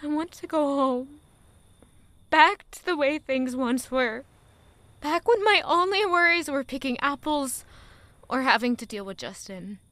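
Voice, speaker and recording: Woman acting high voiced young village girl, slow n emotional, weary and full of doubt, audio drama monologue. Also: lively intonation, fairly bright tone, dry recording. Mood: longing. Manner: emotional, slow, deliberate delivery with rhetorical questions.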